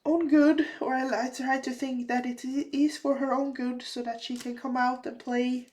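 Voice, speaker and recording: A woman chatting casually while crafting, her voice slightly raspy from a recent cold, with many fillers and restarts. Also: high-pitched, level tone, moderate pace, darkish tone. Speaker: crafting vlogger.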